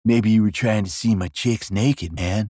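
A man's voice: lowish voice, a little slow, warm tone, husky, no echo. Android king, male narrator acting: slangy, bossy, dim, cocky; comic audiobook, close dry sound. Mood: laid-back, cocky. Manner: slangy, bossy, laid-back.

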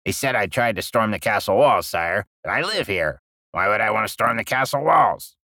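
A man's voice: fairly low pitch, expressive, normal speed, pushed and bright, dry recording, clean studio sound, heavily acted. A man voicing an anxious, whiny peasant in a comic audiobook, stammering and pleading. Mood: protesting.

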